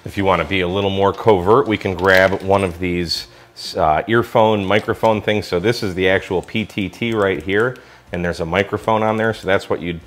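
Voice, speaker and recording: A man's voice: low-pitched, fairly even intonation, normal speed. A man with an American accent giving a casual hands-on gadget review in an enthusiastic, colloquial way. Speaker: product reviewer.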